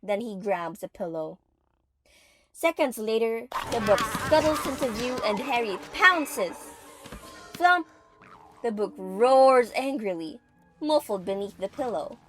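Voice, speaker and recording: A woman's voice: fairly high pitch, expressive, slow pace, faint music behind. A woman reading scripted, dramatic third-person narration for an audio play.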